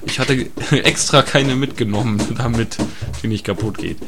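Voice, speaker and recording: A naive, chatty tourist, acted by a man, speaks at a middling pitch. He is hesitant and repeats himself, with little tag questions, over clear background noise with birds and clicks. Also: normal speed, close to an everyday voice. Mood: placid, chatty. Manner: hesitant, repetitive, keeps adding tag questions. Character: chatty, naive male tourist, placidly captured. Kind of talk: improvised absurd comedy audio play.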